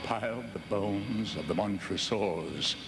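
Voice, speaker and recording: A slow, gloating, vengeful nobleman. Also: on the low side. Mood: grim satisfaction. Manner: theatrical, sardonic, savoring each word. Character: gloating, vengeful nobleman savoring a cruel murder. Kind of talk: gothic horror audio drama narration and acting. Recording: echoey, loud music behind.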